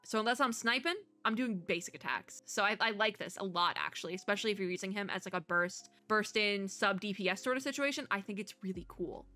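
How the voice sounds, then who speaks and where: A woman's voice: medium pitch, quick pace, few pauses, pushed and bright, faint music behind. A woman hosting a casual game reaction video as a VTuber, chatty and gushing, rambling off into slangy asides.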